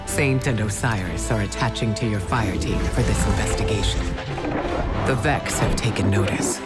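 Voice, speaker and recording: A man's voice: fairly low pitch, normal speed, lightly acted. A man voicing a grave, reassuring commander in a sci-fi video game cutscene. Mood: solemn, reassuring. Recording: loud music, thunder behind.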